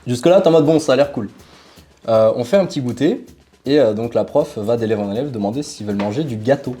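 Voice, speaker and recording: Young man, Portuguese native, relaxed chatty storyteller with a dark, mellow tone over faint music. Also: mid-range voice, fast. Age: young adult. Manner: relaxed, animated storytelling with frequent fillers. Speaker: interview guest. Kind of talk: casual conversational storytelling.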